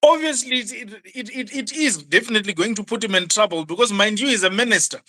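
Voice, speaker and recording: Bright-voiced political commentator. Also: medium pitch, fast. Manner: emphatic, repeats words when starting sentences. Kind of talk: political analysis on an online news show.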